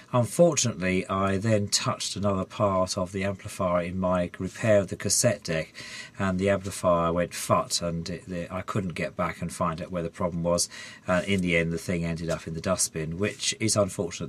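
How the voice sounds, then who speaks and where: A hoarse middle-aged man with a head cold chats casually in his garage workshop. His voice sits very low and he rambles, restarting sentences and filling gaps with ums and uhs. He is close to the camera mic. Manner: rambling, many fillers and restarts. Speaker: electronics hobbyist vlogger. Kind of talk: casual repair vlog. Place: home garage workshop, indoors.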